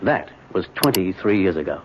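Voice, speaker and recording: A man's voice: sits low, sing-song, moderate pace. An American actor playing a polite but newly defiant middle-aged businessman husband in an old-time radio drama. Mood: earnest. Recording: dull, noisy old radio recording.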